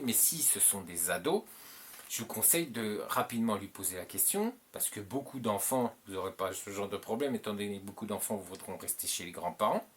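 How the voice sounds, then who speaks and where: Low-voiced man giving rambling advice. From French-speaking. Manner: rambling, repeats himself, many filler "euh"s. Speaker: channel host giving advice. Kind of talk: casual advice monologue.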